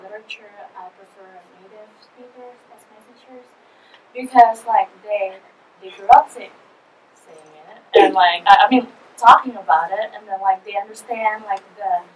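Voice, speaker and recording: A woman's voice: medium pitch, distant mic, a little hiss or hum, low-quality recording. A young woman student giving her view in a forum, hesitant with many fillers. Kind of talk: forum discussion.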